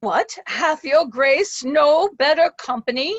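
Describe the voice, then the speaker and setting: A woman's voice: high voice, lively intonation, takes it slowly, dry recording, highs cut off. A woman playing a dutiful, troubled older lord, solemn and concerned, in an amateur Shakespeare reading.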